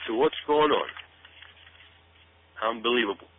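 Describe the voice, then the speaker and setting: A man's voice: medium pitch, measured pace, a little hiss or hum. A man giving urgent, emphatic conspiracy commentary on a talk show, repeating phrases and asking rhetorical questions. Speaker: guest commentator. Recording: tinny phone-like radio audio.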